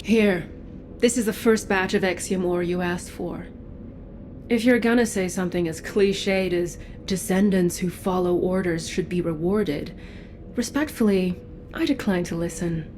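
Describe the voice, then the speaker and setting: A woman's voice: fairly low pitch, a little slow, darkish tone, a little hiss or hum, natural voice. An actress playing a defiant woman artisan with a dry, sardonic delivery. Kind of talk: game cutscene voice acting.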